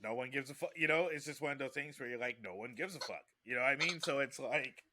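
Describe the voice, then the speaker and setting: A man with a very sharp, bright voice at a middle pitch chats casually on a podcast. He is emphatic, circling back and repeating his phrases to press the point. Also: fast talker.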